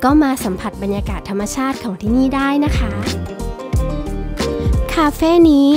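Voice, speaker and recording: A woman's voice: medium pitch, normal speed. A woman hosting a travel vlog, giving a cheerful, friendly and polite scripted voice-over. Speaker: vlog host reviewing a cafe. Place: voice-over, not recorded on location. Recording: voice-over with clear background music. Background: background music.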